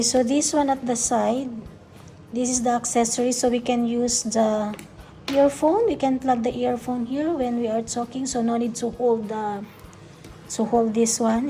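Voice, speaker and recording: A woman's voice: a little high, warm tone. A woman vlogger giving a casual unboxing demonstration, explaining things simply step by step and often repeating herself. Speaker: childcare educator. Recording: background music. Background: music.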